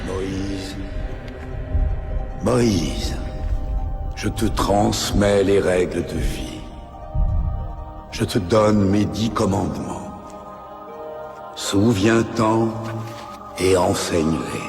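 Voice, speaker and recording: An actor playing a solemn, commanding God in an animated Bible film, speaking in weighty imperatives. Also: male voice, low-pitched, extremely slow, pauses often, music in the background, exaggerated voice.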